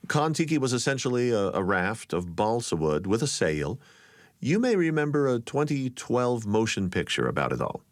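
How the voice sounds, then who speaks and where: A man hosting a podcast, narrating scripted stories in a wry, expressive way. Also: medium pitch, normal speed, dry recording, studio quality. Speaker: podcast host.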